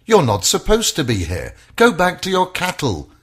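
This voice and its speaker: A man's voice: high-pitched, huge pitch swings, normal speed, a bit bright, husky, voice pushed up, exaggerated voice. A man voicing a stern, dismissive older brother, a soldier scolding in rhyme in a children's story. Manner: scolding, rhyming speech.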